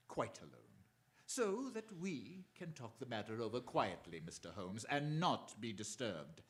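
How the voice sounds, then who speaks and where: A man playing a menacing, coldly polite criminal mastermind in a radio drama, speaking formally and deliberately. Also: medium pitch, sing-song. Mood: silky menace. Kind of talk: stage-style radio drama acting.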